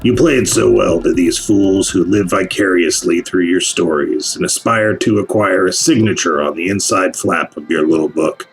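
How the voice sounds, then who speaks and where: A sly, mocking figure in a radio play. His voice is very deep and creaky, theatrical and insinuating, with music behind. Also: moderate pace, few pauses, poor audio. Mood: taunting. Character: sly, taunting, mysterious narrator goading an old professor. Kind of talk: radio theater, dramatic monologue.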